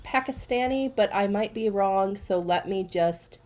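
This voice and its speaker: A young woman co-hosting a podcast, chatting casually with quick asides and self-corrections. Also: medium pitch, darkish tone. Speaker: podcast co-host. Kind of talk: casual chat.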